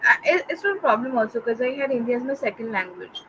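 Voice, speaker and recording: A chatty young woman with an Indian English accent, her voice set a little high. She talks easily and expressively, with plenty of fillers. Clear background noise and passing vehicles are heard behind her. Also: lively intonation, fast talker. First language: Bengali. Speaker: interviewee. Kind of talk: casual conversation. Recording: noisy, with vehicle sounds behind.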